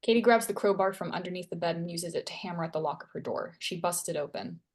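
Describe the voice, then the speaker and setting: A woman's voice: fairly low pitch, fast talker. A woman reading scripted stage directions as the narrator of a screenplay table read.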